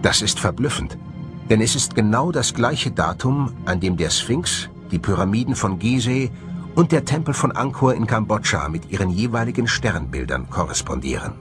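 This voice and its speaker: A man narrates a documentary in a fairly low voice. His intonation is very animated, rising and falling widely through the scripted lines. Music plays clearly behind him. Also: moderate pace.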